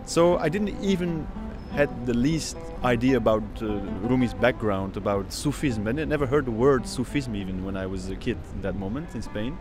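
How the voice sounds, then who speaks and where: A man in his thirties whose first language is Dutch talks in a reflective interview, taking his time and searching for words with frequent fillers. Clear instrumental music plays beneath his voice. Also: mid-range voice, lively intonation, normal speed, hardly any pauses. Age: 30s. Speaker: oud player. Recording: music playing behind.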